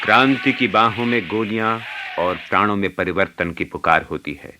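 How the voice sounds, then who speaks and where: A man's voice: lowish voice, moderate pace, background noise, close to an everyday voice. A man playing an earnest revolutionary orator in a radio play, delivering an intense, declamatory monologue.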